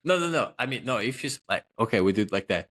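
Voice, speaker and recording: Joking Russian-born man, low voice. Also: expressive, fast, fairly bright tone. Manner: loose, joking, self-promoting, many fillers. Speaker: guest, social selling consultant. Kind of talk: casual interview talk.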